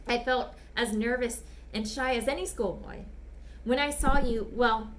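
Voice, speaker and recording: A woman voicing a smitten, bashful gentleman writing polite, apologetic, ardent love letters in a dramatic reading. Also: fairly high pitch, slight background noise. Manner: polite, apologetic, ardent, old-fashioned phrasing. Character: smitten, bashful, gushing gentleman letter writer back from China.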